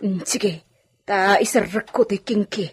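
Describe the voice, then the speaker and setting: A woman's voice: mid-range voice, measured pace, breathy edge. A woman playing an anxious, bossy household servant in a radio drama, speaking urgently with exclamations.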